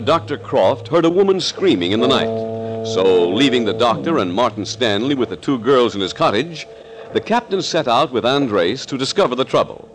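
A man narrating a 1940s radio thriller in a dramatic, ominous, declamatory style. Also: mid-range voice.

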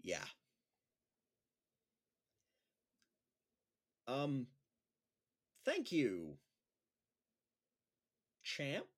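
A man's voice: medium pitch, wildly swooping pitch, very slow, exaggerated voice. A man playing an awkward, self-deprecating schoolteacher in a naturalistic audio drama, with a hesitant manner. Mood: earnest, wistful. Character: awkward, self-deprecating male schoolteacher trying stand-up comedy.

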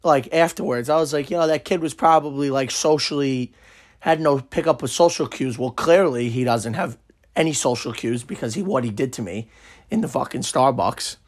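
A young man, hosting a podcast and telling a story in a brash, profane, animated way, his voice hoarse and worn out. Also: mid-range voice, moderate pace, no echo, clean studio sound. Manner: brash, swearing, animated retelling. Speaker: podcast host. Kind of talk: casual profane storytelling monologue.

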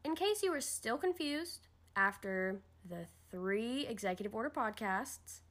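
A woman with a fairly high voice speaks as the host of an educational podcast. Her delivery is scripted and explanatory, laying out each point like a lesson. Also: expressive, unhurried, fairly bright tone.

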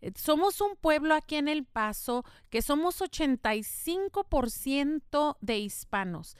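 A woman's voice: sits high, animated, a little slow, no room sound, clean studio sound. A middle-aged woman from the United States, hosting a podcast in an earnest, explanatory monologue with a faith-tinged tone. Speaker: podcast host.

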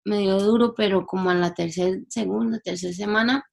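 Young canadian-born woman guesting on a podcast, fry-heavy voice, mid pitch, chatty and thoughtful. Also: steady tone, fairly quick, a little mellow. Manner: reflective and chatty, slips in English words. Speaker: singer-songwriter guest. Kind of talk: casual interview talk.

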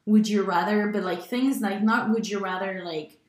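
Woman, mid pitch, rambling casual chat, laughs a lot, echoey room. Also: steady tone, normal speed. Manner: rambling, heavy use of "like", laughs often. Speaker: podcast co-host.

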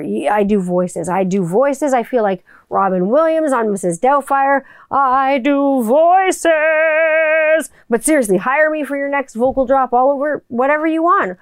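Casting note: woman voiceover artist in a loose, rambling home monologue; smooth clear voice, dry close sound. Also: a little high, dry recording. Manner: chatty, rambling, swears casually. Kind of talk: casual monologue to viewers. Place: home room with people upstairs.

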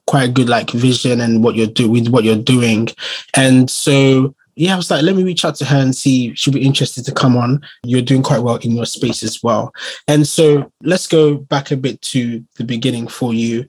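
A man's voice: mid-range voice, steady tone, darkish tone, husky, dry recording, low-quality recording. Hyped man hosting a podcast. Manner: hyped, quick bursts, many fillers like cool cool cool. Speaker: podcast host. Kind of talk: podcast interview.

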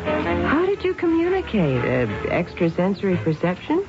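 A woman playing a poised wife with an arch, playful manner and deliberate phrasing in an old-time radio drama. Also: mid-range voice, huge pitch swings. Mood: wry, teasing. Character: poised wife chatting wryly over breakfast. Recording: dull old radio recording, loud music.

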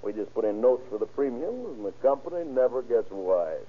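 An actor playing a smug, scheming insurance swindler in an old radio crime drama. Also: male voice, medium pitch, sing-song, moderate pace, slightly rough. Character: scheming, self-assured male insurance swindler, crooked adjuster. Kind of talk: 1930s-40s radio crime drama acting. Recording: muffled, scratchy old-time radio recording.

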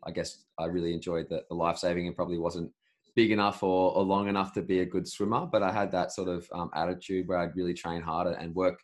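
A young Australian man with a notably low, deep voice talks through his past in an easy, rambling way. He often restarts a sentence partway through, keeping a casual interview tone. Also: little pitch movement, quick pace. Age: 20s. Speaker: podcast host, interviewee.

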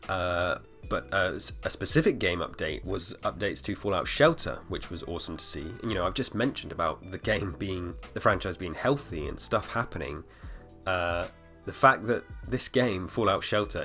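Male solo podcast host, 30s, very low voice, a bit hoarse, loose rambling delivery, faint music underneath. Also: a bit bright. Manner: rambling, full of fillers and restarts. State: slightly hoarse. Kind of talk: casual solo podcast talk.

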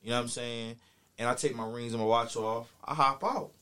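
A man's voice: mid-range voice, brisk, bright, forceful tone, clean tone. Male, US East Coast accent, mid-range voice, casual storyteller with heavy slang and a boastful streak. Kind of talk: casual chat, animated storytelling.

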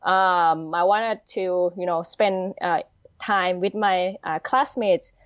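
A woman in her thirties from Thailand with a Thai accent, answering interview questions hesitantly with many pauses and fillers. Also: fairly low pitch, steady tone, dry recording. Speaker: podcast guest. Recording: dull lo-fi sound.

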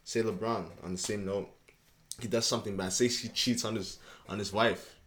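A man's voice: fairly low pitch, fairly even intonation, normal speed, fairly bright tone, a little hiss or hum. A young man from Canada, chatting loosely as a podcast guest and often checking in with "you know what I mean". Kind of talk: casual interview chat.

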